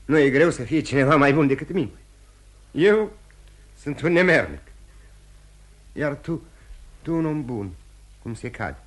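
An actor playing a dissolute, self-loathing husband in radio theatre, halting and repeating words theatrically. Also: male voice, fairly high pitch, expressive. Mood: bitter, self-loathing. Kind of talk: mid-20th-century radio theatre acting. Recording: old radio drama, music behind.

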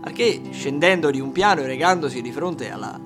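A man's voice: fairly high pitch, lively intonation, brisk. A man narrating in a theatrical storytelling style. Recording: guitar music under the voice. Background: acoustic guitar.

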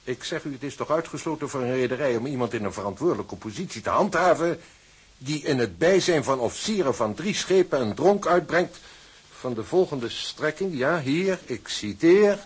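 A cold male shipping company official in a radio play. The voice is mid-pitched and noticeably husky. He is officious and formal, coating his condescension in exaggerated politeness. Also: exaggerated voice. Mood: indignant. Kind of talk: Dutch radio drama acting.

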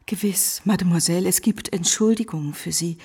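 An actress playing an anguished, pious, middle-aged abandoned wife of noble rank in a radio play, formal and pleading, with a breathy voice. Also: female voice, lowish voice, fairly quick, a little mellow. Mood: restrained, tearful. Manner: formal, pleading, emotionally fraught. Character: anguished, pious abandoned baroness, earnest and dignified. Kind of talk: German radio play acting.

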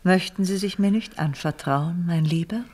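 An actress playing a composed, worldly French noblewoman in a German radio play, with a measured, genteel manner. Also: lowish voice, a little mellow, natural voice. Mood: confiding, probing.

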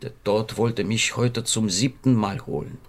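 A man's voice: on the low side, measured pace, lightly acted. An actor playing an agitated, insistent patient who is convinced that he is doomed, in a radio drama. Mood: haunted, confessing. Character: agitated, stocky man convinced death pursues him. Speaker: therapy patient. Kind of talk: German radio drama acting.

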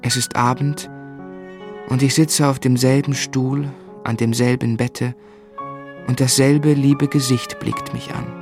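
A reflective young husband as an actor plays him narrates a radio drama in a medium-pitched voice. He is tender, quiet and wistful, with music behind him. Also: fairly even intonation, unhurried, natural voice. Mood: wistful narration. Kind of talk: scripted first-person radio drama narration.

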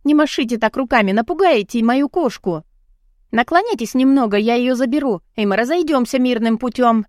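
A woman's voice: a little high, expressive, brisk, darkish tone, no room sound, clean studio sound, voice pushed up, lightly acted. A woman voicing a flustered, indignant young woman in an audiobook, wordy and defiant, chattering in protest. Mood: protective, defiant. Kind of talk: audiobook character dialogue.

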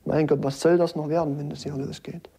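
A man's voice: a little high, flat delivery, fairly quick, vocal fry, warm tone, breathy edge. A man recalls what he witnessed, piling up insistent, repeated phrases. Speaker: eyewitness interviewee. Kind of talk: spoken recollection.

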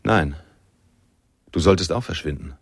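World-weary narrator for a crime radio play, deep, dry studio sound, melancholy. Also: male voice, a little slow, gravelly, breathy edge, no echo, exaggerated voice. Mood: reflective, melancholy. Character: sombre, reflective male noir narrator, world-weary. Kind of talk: scripted literary narration in a German radio drama.

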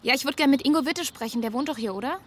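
An actress playing an awkwardly friendly police officer in a naturalistic radio drama, questioning gently and hesitantly. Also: female voice, high-pitched, rapid-fire. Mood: polite, probing.

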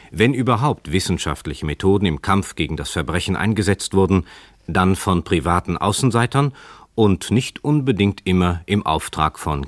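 A man reading scripted narration for a crime drama in a measured, sober and precise manner. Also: low voice, no echo, studio quality.

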